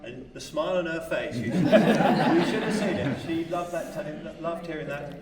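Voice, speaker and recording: A man's voice: fairly high pitch, moderate pace, far from the mic, over a noisy crowd. Jokey man telling stories in an echoey hall. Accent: English. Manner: humorous anecdotal storyteller. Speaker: carer speaking at a carers' event. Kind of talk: storytelling speech to an audience. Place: event hall.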